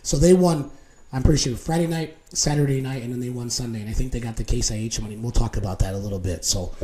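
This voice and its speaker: A male show host talks very quickly in a casual, chatty way. His voice sits at a medium pitch and carries a slight hoarseness. The delivery is loose and informal, like live talk between co-hosts. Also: a crowd in the background.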